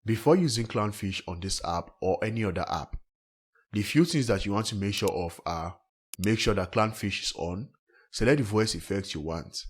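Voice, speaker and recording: A man narrating a scripted step-by-step software tutorial as a tech host. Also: on the low side, lively intonation, moderate pace, breathy edge.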